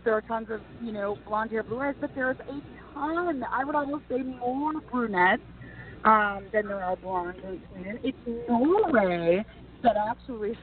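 A woman from Minnesota, a TV and news personality, chatting animatedly as an interview guest. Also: on the high side. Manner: chatty and animated, frequent restarts. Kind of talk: casual interview answers. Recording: tinny phone-like line.

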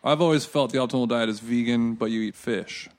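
Joking male podcast host with a mid-pitched voice. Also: fairly quick, darkish tone. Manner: joking, teasing, swears casually. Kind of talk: casual chat interview.

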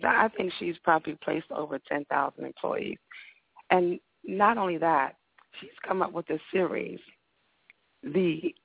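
A woman with a raspy voice hosting a radio talk show in a studio, upbeat and often repeating her words. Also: medium pitch, no room sound, narrow, tinny audio.

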